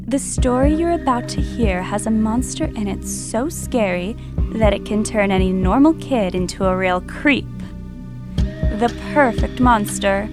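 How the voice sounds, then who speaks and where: A young female monster hosting a horror podcast. She tells her tales slowly and theatrically, with creepy menace. Music plays clearly behind her. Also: fairly high pitch. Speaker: podcast host. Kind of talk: scripted horror storytelling.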